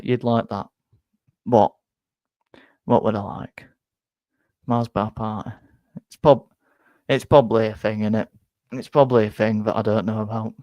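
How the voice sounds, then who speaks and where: A young man with a Yorkshire accent, slightly nasal and flat, chatting casually on a live stream, rambling and stumbling over repeated words. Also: fairly low pitch, dry recording. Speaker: football fan streamer reading chat.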